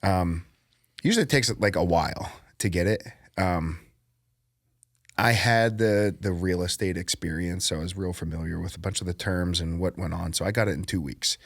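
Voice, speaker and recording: A man's voice: deep voice, moderate pace, creaky, no echo, studio quality. A man, a podcast guest, chatting casually and telling a story with frequent laughs. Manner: laughs often, relaxed storytelling. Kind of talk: casual chat.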